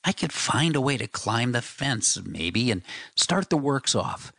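A man's voice: medium pitch, sing-song, a little slow, gravelly, a bit bright, dry recording, studio quality, voice pushed up, exaggerated voice. A man reading the part of a big, determined man, with an urgent, eager delivery. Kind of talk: audiobook character reading.

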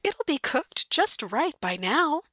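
A woman voicing a soft-spoken, grieving housewife who gently coaxes the men around her, drawing out her words. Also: sits high, big pitch swings, dry recording, clean studio sound, pitched above the performer's own voice, full character voice. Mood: sweetly pleading. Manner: gentle pleading, drawn-out words.

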